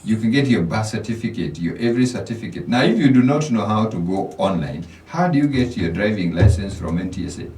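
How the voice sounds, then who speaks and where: A man's voice: lowish voice, animated, fast talker, hardly any pauses, a little mellow, reverberant, far from the mic. A Kenyan man, a financial coach, giving motivational advice as a radio guest, often repeating words as he starts a thought. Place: radio studio.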